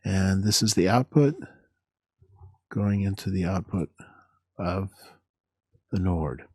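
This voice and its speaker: A man presenting an instructional software demo in a halting, methodical, step-by-step way. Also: deep voice, breathy edge, no room sound.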